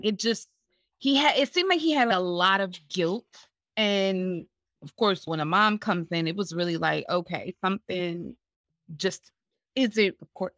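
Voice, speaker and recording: A woman's voice: fairly low pitch, dry recording, studio quality. A woman co-hosting a casual podcast, giving her opinions on a film and acting out characters' lines. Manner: animated, acts out lines in voices. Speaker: podcast co-host. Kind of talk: casual movie discussion.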